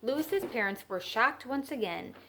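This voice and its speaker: A woman's voice: fairly high pitch, lively intonation, measured pace, fairly bright tone, slight background noise. A woman teacher reading a children's story aloud and adding simple explanatory asides.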